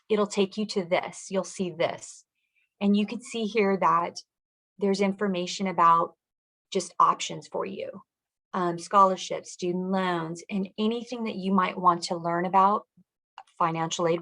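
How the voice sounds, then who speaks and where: A woman with a slightly low voice gives an informational presentation. She explains things step by step, in the instructional manner of a school district director guiding an audience through web pages. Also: fairly bright tone, poor audio.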